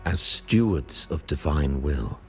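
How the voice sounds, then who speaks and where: Game role, solemn high cleric and ruler. Bottomless bass, slow formal oratory, pompous and stern. Music behind. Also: male voice, big pitch swings, gravelly, full character voice. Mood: solemn, measured. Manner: solemn, measured, ceremonial, pompous. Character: solemn, stern male religious ruler of a holy city.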